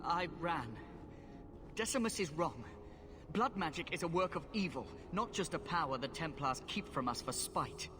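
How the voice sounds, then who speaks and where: An actor playing a frightened, earnest young runaway mage in a video game, timid and pleading. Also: male voice, on the high side, animated, normal speed, in a large hall, background noise, close to an everyday voice. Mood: frightened, shaken. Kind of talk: dramatic video game acting.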